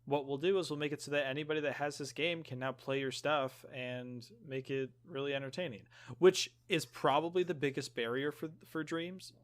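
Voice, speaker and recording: A man's voice: fairly high pitch, steady tone, fairly quick. Joking man on a gaming podcast. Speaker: podcast co-host. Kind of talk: casual gaming chat.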